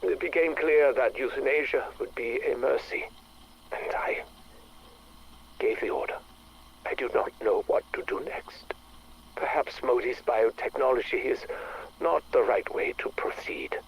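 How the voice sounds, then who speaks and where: An actor playing an obsessed, brooding scientist with a husky voice, speaking formally and tersely in dramatic video game audio logs. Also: male voice, mid-range voice, unhurried, long pauses, low-quality recording. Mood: grieving, weary.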